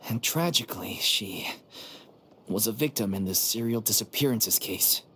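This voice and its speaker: A man voicing a humble, downcast member of a theater troupe in a video game, speaking earnestly and in a measured way. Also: on the low side, expressive, sharp tone, slight background noise. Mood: somber, resigned.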